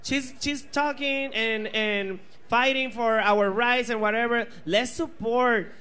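A man's voice: extremely high pitch, fairly even intonation, slow, sharp tone, clear, resonant tone. A man with a Puerto Rican accent, a drag queen answering fan questions at a bar event in a sassy, playful way, slipping into Spanish. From Puerto Rico. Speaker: drag queen panel guest. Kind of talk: casual Q&A banter. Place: bar stage, indoors. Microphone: handheld mic.

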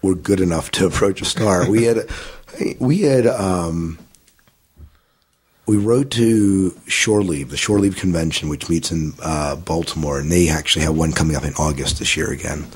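A man in his thirties with a low, husky voice talks through his story as a podcast guest. He rambles easily, circling back with fillers and restarts. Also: moderate pace. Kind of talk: casual interview answers.

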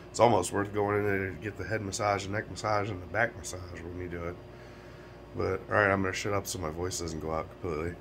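A raspy, hoarse American man talks casually into a phone held close, his low voice worn down by a cold. His nose is stuffed up, and his loose, rambling talk is broken by little chuckles. Faint noise sits behind him. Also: fairly bright tone. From United States. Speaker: vlogger. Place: small room indoors. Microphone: phone camera held close.

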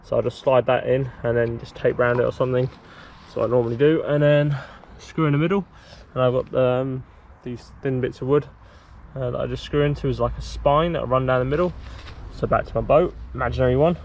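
A young man casually explaining a DIY build outdoors, talking to a handheld camera in a relaxed, rambling way. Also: medium pitch, brisk, a little hiss or hum. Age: young adult. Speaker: sailing vlogger. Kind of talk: casual how-to vlog. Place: outdoors in a garden, later in a shed. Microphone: handheld camera at arm's length.

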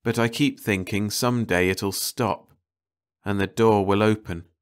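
A man's voice: fairly low pitch, fairly even intonation, a little slow, smooth, close to an everyday voice. A man voicing an uneasy car-shop worker in an audiobook, nervously reassuring himself. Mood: nervous dread. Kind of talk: audiobook character voice.

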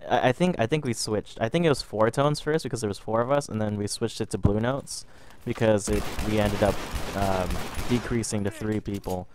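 Young man, a bit under the weather, casual rambling storyteller over game footage, low-pitched. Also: brisk, noisy background. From Canada. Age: young adult. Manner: rambling, repeats words and restarts often. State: a little sick. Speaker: gaming vlogger.

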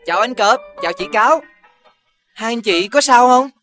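A woman's voice: a little high, animated, normal speed, close to an everyday voice. A woman voicing a tiny, brave and clever toad who speaks politely and confidently in a children's cartoon. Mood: polite, bold. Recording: music behind the voice.

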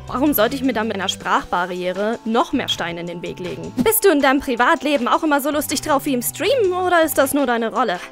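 A young woman hosting a Q&A as a VTuber, reading out fan questions and answering with playful sarcasm and jokes. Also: on the high side. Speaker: VTuber host.

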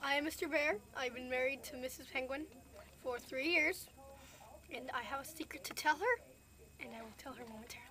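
A woman's voice: high voice, brisk, a crowd in the background, pitched below the performer's own voice, close to an everyday voice. A woman voicing a sheepish male bear, a cheating husband confessing matter-of-factly in an improvised puppet show. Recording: noisy amateur camera recording in store.

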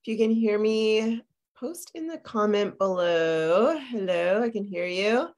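A woman's voice: medium pitch, expressive, unhurried, a little mellow. A woman in her forties, a business coach, talking live over a video call from her home office, a little congested with a lingering cough, chatty and full of fillers and tag questions. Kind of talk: live-streamed coaching talk. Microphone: video call webcam.

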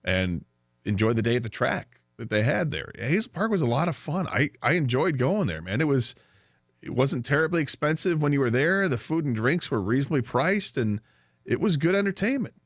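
A man's voice: medium pitch, big pitch swings, brisk, no room sound. A man with an American accent co-hosting a sports radio show in casual discussion on a studio microphone. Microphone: studio broadcast microphone.

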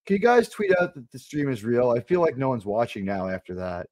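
Loud, swearing man hosting a stream. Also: medium pitch, sing-song, brisk, no room sound, clean studio sound. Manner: loud, profane, joking. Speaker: streamer host. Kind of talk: casual watch-party chat.

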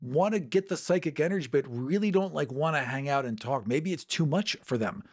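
A man's voice: fairly high pitch, a little fast, slightly rough. A man chatting casually and emphatically about tabletop game lore as a podcast panelist.

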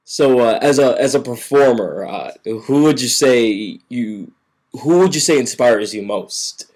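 Brief: young guy hosting a spoof interview, polite but flustered, mid pitch. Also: animated, a little slow, darkish tone. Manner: fake-polite, flustered talk-show questions. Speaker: mock interviewer. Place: living room at home. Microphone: webcam.

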